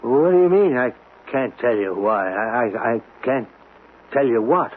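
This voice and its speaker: An actor playing a lonely, guilt-ridden killer in a radio drama, evasive and pleading in a halting, anguished stammer. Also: male voice, medium pitch, big pitch swings. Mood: panicked, confused. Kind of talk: 1970s radio drama acting. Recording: noisy, tinny old radio broadcast.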